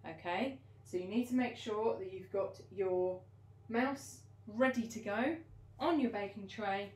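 A woman's voice: medium pitch, unhurried. Young woman teaching children to cook. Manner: gentle, encouraging, step-by-step explaining. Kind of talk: cooking demonstration for children. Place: home kitchen, indoors.

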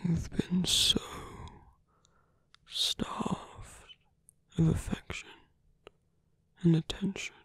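A man voicing a seductive, possessive villain in an intimate ASMR roleplay, coaxing slowly in a breathy whisper. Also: fairly high pitch, little pitch movement, pushed and bright, no room sound, heavily acted.

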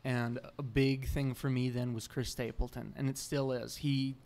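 A young adult man, a musician guest in a podcast interview, talking earnestly in animated bursts with many restarts, at a table mic in a small studio room. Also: medium pitch, moderate pace, vocal fry, a little hiss or hum. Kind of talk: casual interview chat. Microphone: boom mic on the table.

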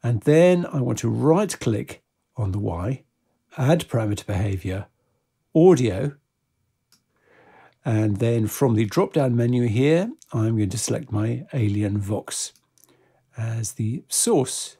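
A man presenting a software tutorial step by step, in a methodical, informal way. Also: medium pitch, animated, takes it slowly, long pauses, husky.

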